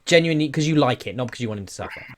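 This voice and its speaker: A man with an average-pitched voice co-hosts a casual podcast. He talks in an enthusiastic, opinionated way, as in an easy chat among friends. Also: animated, rapid-fire, noisy background. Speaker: podcast co-host. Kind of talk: casual film and game chat.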